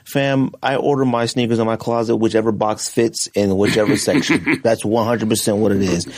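A man's voice: fairly low pitch, fairly even intonation, moderate pace, a little mellow. A middle-aged man co-hosting a casual podcast, answering listener questions in a laid-back, joking way, with slang like "fam". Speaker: podcast co-host.

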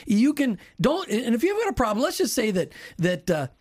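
Man co-host, animated delivery, voice leaps upward on key words, casual. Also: fairly quick. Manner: animated, pitch jumps up for emphasis, builds examples. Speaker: radio co-host. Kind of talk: conversational talk show on marriage.

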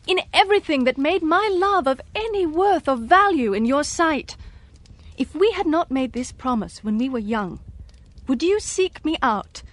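High-voiced, sorrowful young fiancée. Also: female voice, dry recording. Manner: earnest, measured, sorrowful. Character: heartbroken, resolute, principled young fiancée. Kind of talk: radio drama acting.

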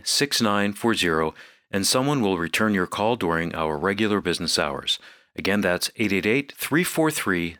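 A man's voice: on the low side, a bit bright, dry recording, clean studio sound. A man reading scripted radio announcements. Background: faint music.

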